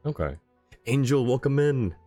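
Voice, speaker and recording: A man's voice: mid-range voice, lively intonation, normal speed, warm tone. A man streaming a video game, giving casual commentary and chatting with viewers in a friendly, informal way. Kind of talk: casual chat and live commentary. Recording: game music behind the voice.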